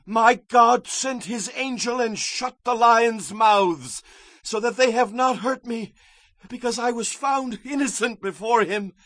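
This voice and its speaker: An actor playing a devout, awestruck prophet in a dramatized Bible audio production, with an intense, declamatory delivery. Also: male voice, very high voice, measured pace, a bit bright, no room sound. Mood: fervent. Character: devout, awestruck male prophet recounting visions.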